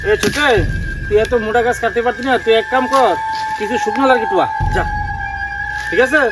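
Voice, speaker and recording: A man plays a village woodcutter in an amateur horror skit. His voice sits high for a man, and he keeps urging and repeating commands to his young companion. Loud music runs over the voice. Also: fairly quick, poor audio. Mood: bossy, hurried. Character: village woodcutter uncle bossing and minding a young boy.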